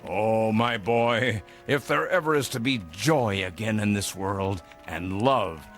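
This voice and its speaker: An actor playing an eccentric older gentleman, a secretive mentor with a grandiloquent, fatherly manner in a theatrical radio drama. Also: lowish voice, takes it slowly, soft background music. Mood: grave, impassioned. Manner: grandiloquent, avuncular, emphatic. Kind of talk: theatrical audio drama acting.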